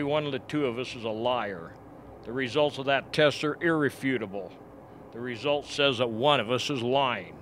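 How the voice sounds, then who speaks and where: A man acts a male scientist in a radio drama, under strain and suspicion. His voice is mid-pitched, bright and tense, with emphatic repeated phrases. Faint noise sits behind him. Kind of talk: radio play acting.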